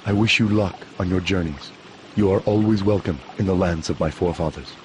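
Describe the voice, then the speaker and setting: A male actor playing a stern, solemn shaman warrior in a fighting game, grave, measured and formal. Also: low-pitched, a little hiss or hum, lightly acted. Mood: solemn approval. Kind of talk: fighting game cutscene acting.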